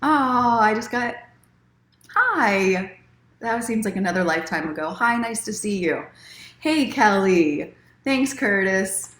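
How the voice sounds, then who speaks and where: A woman's voice: low voice, reverberant. A woman hosting an online story time, reading aloud expressively and chatting casually in an encouraging, conversational self-help tone. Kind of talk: expressive reading aloud from own book, mixed with casual livestream chat.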